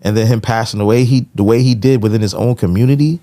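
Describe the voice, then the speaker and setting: A man's voice: mid-range voice, steady tone, quick pace, warm tone. An American man in casual podcast conversation, giving his opinions with frequent conversational tag phrases. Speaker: podcast co-host.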